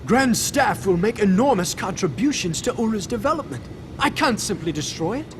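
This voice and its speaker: An actor playing a proud, excitable, wary king in a fantasy game cutscene, speaking formally and emphatically. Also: high voice, normal speed, background noise. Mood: protesting, incredulous. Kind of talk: scripted video game cutscene acting. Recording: loud music behind the voice.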